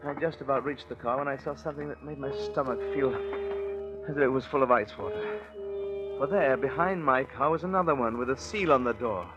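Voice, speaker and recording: A male actor as a glib impostor and murderer in 1940s radio suspense, with a medium-pitched voice. He confides like a chatty storyteller with cold calculation underneath. The old recording is muffled, with music clearly behind him. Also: a little fast, lightly acted. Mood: tense, confessional. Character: smooth-talking impostor and cold-blooded murderer narrating his crime. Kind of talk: 1940s radio suspense acting, confessional narration.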